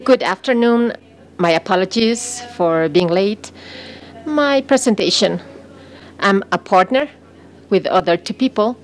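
A woman's voice: mid-range voice, a little slow, long pauses. A woman working as a simultaneous interpreter at a conference, delivering a talk in short, piecemeal phrases.

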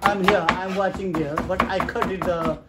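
A man's voice: high voice, fairly even intonation, moderate pace. Middle-aged chef guy, choppy broken english, short bits at a time, knife clatter and kitchen racket all over it. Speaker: restaurant chef. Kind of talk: casual explanation while demonstrating. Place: commercial restaurant kitchen, indoors. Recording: loud kitchen noise with chopping.

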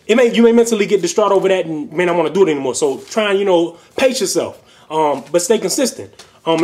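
A man's voice: high voice, a little mellow. A young man giving casual fitness tips in a video response, rambling loosely with lots of fillers and restarts, recorded on a webcam in a small bedroom. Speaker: fitness vlogger. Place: small bedroom at home, indoors.

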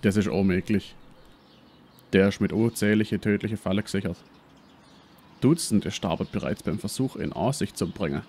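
An actor playing a brash, self-important professor and treasure hunter with a Swabian accent in a parody detective radio play. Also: male voice, fairly low pitch, normal speed, pauses often, darkish tone, slight background noise, close to an everyday voice. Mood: explaining.

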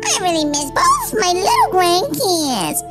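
A woman voicing a sweet, doting, lonely old grandmother in an animated roleplay story, cooing and drawing out her exclamations. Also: very high voice, unhurried, loud music behind the voice, heavily acted. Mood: eager, fond.

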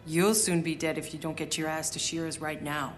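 A woman's voice: low voice, fairly even intonation, brisk, soft background music, lightly acted. An actress playing a tough, sardonic female soldier in a video game cutscene, blunt and sweary. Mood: dry, resolute. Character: tough, sardonic female soldier just woken from long sleep. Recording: game sound with background noise.

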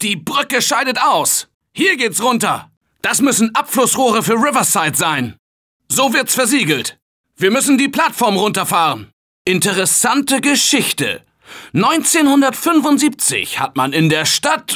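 A male actor playing a confident, energetic survivor in a German video game dub, shouting warnings and calling directions. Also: very high voice, a little slow, full character voice. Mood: determined. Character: upbeat male office worker survivor, confident. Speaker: game character.

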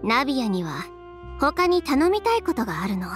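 A woman's voice: high voice, sing-song, fairly quick, faint music behind. A woman playing a confident, businesslike young fashion designer with a direct manner in a Japanese video game. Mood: composed, businesslike.

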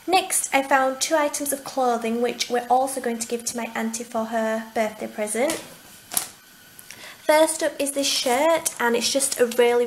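A woman's voice: fairly high pitch, a little hiss or hum, low-quality recording. A young woman in a casual haul video at home, gushing over cute finds while showing them to the camera. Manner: gushing, enthusiastic about cute items. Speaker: vlogger. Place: home, indoors at a table.

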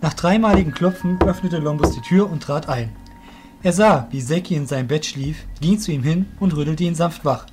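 A man's voice: a little high, moderate pace, over music. A man narrating a scripted audio drama as its storyteller.

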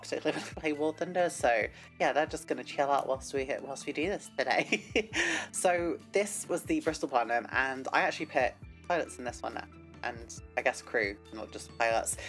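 A woman hosting a casual scale-model vlog, chatty and self-deprecating with lots of fillers. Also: a bass voice. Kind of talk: casual hobby vlog with read history segment.

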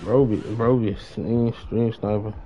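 A man's voice: fairly low pitch, steady tone, measured pace, mellow. A young man chatting casually on a gaming livestream in slangy, profane street talk. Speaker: game livestreamer. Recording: dull lo-fi mic with noise.

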